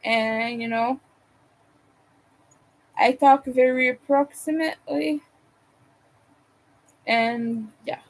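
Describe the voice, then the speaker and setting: A woman's voice: sits high, very slow, pauses often. A woman who is not a native English speaker, chatting casually while she crochets, hesitating and restarting words. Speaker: crochet vlogger.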